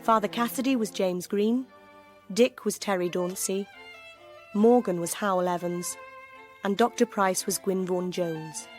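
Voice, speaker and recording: A woman's voice: medium pitch, fairly even intonation, measured pace, a little mellow. A woman reading scripted credits as a radio drama announcer. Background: string music.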